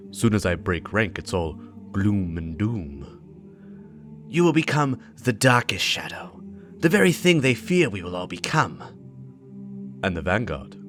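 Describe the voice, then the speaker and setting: Grave, scheming conspirators both acted by a man speak deliberately, with pitch swinging widely over music. The tone is tense and theatrical, like dark video game dialogue. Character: two grave conspirators, a cold schemer and a doubting recruit.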